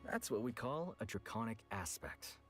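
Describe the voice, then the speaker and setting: An actor playing a frank, world-weary weapons merchant in a video game, with a casual, blunt manner. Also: male voice, on the low side, big pitch swings, moderate pace, a bit bright. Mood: grave storytelling. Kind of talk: scripted game voice acting. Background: background music.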